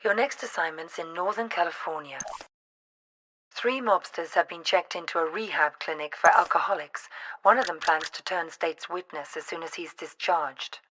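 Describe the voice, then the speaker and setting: An actress playing a composed female handler who briefs an assassin in a formal, measured way. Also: on the low side, pushed and bright, a little hiss or hum, poor audio. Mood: composed, businesslike. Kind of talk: scripted mission briefing.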